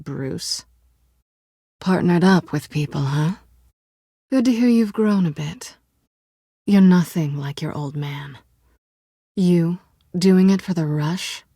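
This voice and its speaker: Sly lady cat burglar for a video game, lowish voice, really slow, sultry and teasing, wry, kinda guarded. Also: warm tone, dry recording, clean studio sound. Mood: wry, probing. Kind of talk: video game voice acting, dramatic dialogue.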